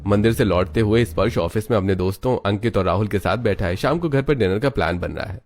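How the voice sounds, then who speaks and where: A man with a somewhat low voice narrates a cartoon story. He keeps a steady, even storytelling manner. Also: fast talker. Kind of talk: scripted narration.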